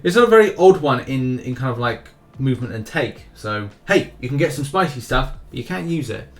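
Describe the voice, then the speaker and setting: A man's voice: medium pitch, lively intonation, a little fast. A man hosting a gaming news video with casual commentary, rambling a little and repeating phrases for emphasis. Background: background music.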